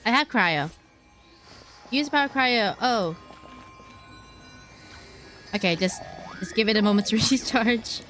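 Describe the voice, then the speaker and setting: A woman's voice: a little high, animated, takes it slowly, pauses often, a bit bright, soft background music. A woman VTuber giving casual playthrough commentary, playfully musing aloud and reading game text.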